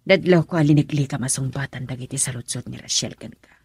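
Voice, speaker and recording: Naungtan nga ina, nababa ken naparaw a timek. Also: female voice, very deep voice, fast talker, a little mellow, husky, no room sound, full character voice. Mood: questioning sternly. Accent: Ilocano. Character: older mother, stern, scolding, worried over her son. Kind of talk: Ilocano radio drama acting.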